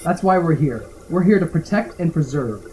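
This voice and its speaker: A man playing animated practical diver, noisy audio. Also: a little high, moderate pace, lightly acted. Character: easygoing, practical diver on a survey team. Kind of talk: 1930s-40s style radio drama acting.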